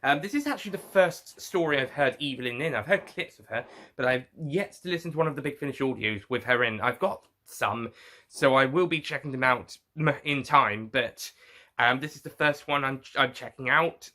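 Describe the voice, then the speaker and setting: A man giving an informal spoken review to viewers, rambling and haltingly correcting himself as he goes. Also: medium pitch, bright, forceful tone. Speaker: reviewer and host.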